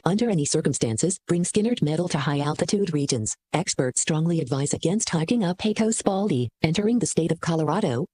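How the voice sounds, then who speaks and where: An actor playing a solemn emergency announcer, reading a mock safety bulletin for comedy radio in a formal, deadpan manner. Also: medium pitch, slightly rough. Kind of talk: scripted parody public safety announcement.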